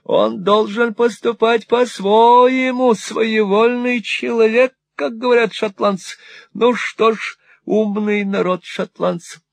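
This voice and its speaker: A cackling, fawning old moneylender. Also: male voice, high-pitched, steady tone, slow pace, creaky, no echo, pitched above the performer's own voice, full character voice. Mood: gushing. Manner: wheedling, gushing exclamations. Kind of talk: audiobook reading.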